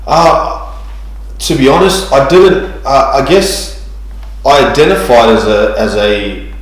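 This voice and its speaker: A man's voice: mid-range voice, takes it slowly, heavy reverb, low-quality recording. A man, telling his life story in a church interview, hesitant with many ums and restarts. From New Zealand. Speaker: guest sharing testimony.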